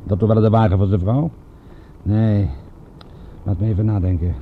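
An actor playing a wry, weary private detective in a radio drama, speaking in a dry, sardonic and understated way. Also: male voice, lowish voice, fairly even intonation, normal speed, slight background noise, natural voice. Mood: dry banter. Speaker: actor playing narrator and lead. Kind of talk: radio drama acting and first-person narration. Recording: muffled, thin phone-like radio recording.

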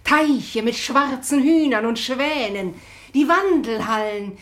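A proud lady of the tavern in a radio drama, with a fairly high voice. She speaks slowly and theatrically, aggrieved and lingering grandly on her phrases. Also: animated, fairly bright tone, heavily acted. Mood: aggrieved, wistful. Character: proud, aggrieved tavern lady Donna Odilia, given to reminiscing. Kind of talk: literary radio play acting.

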